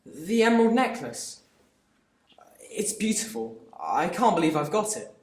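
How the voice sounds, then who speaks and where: A man's voice: high-pitched, big pitch swings, a little slow, echoey room. A teenage boy playing a timid, meek grown son who stammers and hesitates. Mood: astonished. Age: teens.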